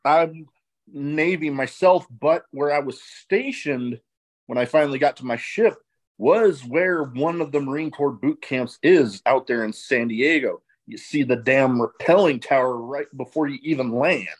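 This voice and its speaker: A man's voice: mid-range voice, measured pace. Male podcast host, laid-back and chatty, easy conversational tone. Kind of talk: casual interview chat.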